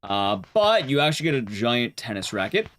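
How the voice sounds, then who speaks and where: A man's voice: medium pitch, sing-song, moderate pace, fairly bright tone. A man livestreaming a game and chatting casually with viewers, rambling and restarting his sentences as he goes. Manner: rambling, often restarting sentences mid-thought.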